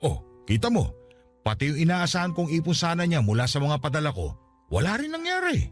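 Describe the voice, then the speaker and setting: A man's voice: a little high, wildly swooping pitch, a little fast, faint music behind. An actor playing an ailing middle-aged Filipino father and former seaman, tender and wounded, speaking earnestly in a radio drama. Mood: hurt, sorrowful. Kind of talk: Tagalog radio drama acting.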